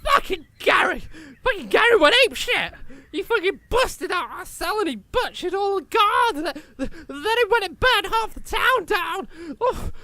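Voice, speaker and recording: Manic small troublemaker, very high shrill voice, piercing and bright, sweary, panicky rants, fantasy comedy audio drama. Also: male voice, big pitch swings, a little slow, few pauses, exaggerated voice. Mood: panicked, furious. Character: frantic, foul-mouthed little manic troublemaker.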